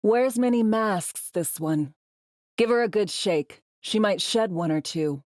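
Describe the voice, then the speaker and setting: A woman's voice: medium pitch, measured pace, a little mellow, dry recording, studio quality, lightly acted. A woman playing a brash, foul-mouthed, world-weary female spy in a video game, talking in clipped, slangy, sardonic lines. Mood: brisk, businesslike. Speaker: undercover field agent in a sci-fi game. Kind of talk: video game character dialogue.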